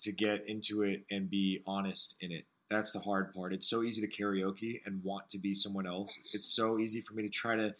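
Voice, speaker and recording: A man with a smoky, raspy voice hosting an interview, talking earnestly and searchingly about music and his own struggles. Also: on the low side, pushed and bright, low-quality recording. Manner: earnest, searching, piles up phrases. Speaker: podcast host. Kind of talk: reflective interview talk.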